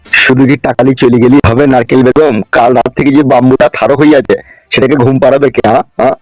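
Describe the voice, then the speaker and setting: Quick, grumbling cartoon landowner, played by a man, gruff and stingy, close dry sound, faint music. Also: mid-range voice, steady tone, a little mellow, no room sound, natural voice, rough recording. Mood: wheedling. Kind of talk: comedy cartoon voice acting.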